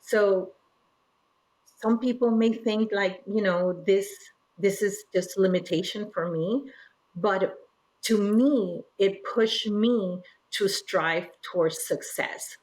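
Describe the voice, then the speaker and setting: A woman's voice: medium pitch, slow pace, darkish tone, poor audio. A woman from Peru, an interview guest telling her personal story in a reflective, earnest way. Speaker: interview guest, regional director.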